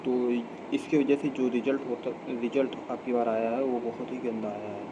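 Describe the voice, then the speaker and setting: Flat-toned Indian man over background noise. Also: mid-range voice. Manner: halting, repetitive phrasing with frequent self-corrections. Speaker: YouTube video host. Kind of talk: informal explainer talk to camera. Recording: clear steady background hum and ambient noise.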